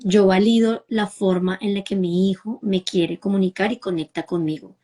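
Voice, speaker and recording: A woman's voice: medium pitch, fairly even intonation, moderate pace. A woman from Bogotá with a Colombian accent, a mother speaking earnestly as a guest and often checking in with "¿sí?". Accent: Bogotá Colombian Spanish. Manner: warm, emphatic, repeats and asks "¿sí?" for agreement. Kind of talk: conversational talk.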